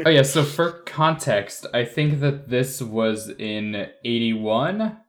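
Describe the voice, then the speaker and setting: Regular mid pitched guy, casual podcast panel talk. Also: animated, slow. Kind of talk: casual chat.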